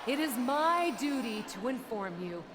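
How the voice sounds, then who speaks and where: A woman playing an authoritative, smug wrestling general manager in a video game, speaking in a formal, measured, theatrical announcing style over background noise. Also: a little high, very animated. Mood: formal, assured. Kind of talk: scripted promo speech to an arena.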